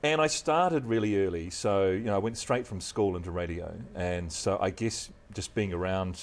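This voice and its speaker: A middle-aged man with a deep voice and a New Zealand accent, chatting as a guest in a relaxed, modest, measured way. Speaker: guest, news presenter. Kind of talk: relaxed interview chat.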